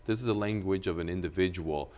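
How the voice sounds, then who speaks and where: A man's voice: deep voice, quick pace, darkish tone. A middle-aged man speaks as a studio guest, earnest and argumentative, gesturing as he talks. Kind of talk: studio interview. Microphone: lapel mic.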